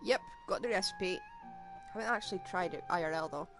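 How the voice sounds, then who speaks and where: A woman's voice: lowish voice, brisk, loud music behind the voice. A woman giving casual, wryly joking commentary as she streams a game.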